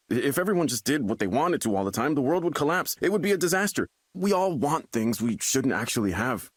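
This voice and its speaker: An actor playing a troubled young man desperate to stay normal, agitated and halting as he repeats himself in a video game. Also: medium pitch, no room sound, clean studio sound, close to an everyday voice.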